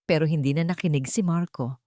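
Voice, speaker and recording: A woman's voice: low voice, fairly quick, dark tone, no echo, clean studio sound. A woman reading a dramatic scripted romance story as narrator.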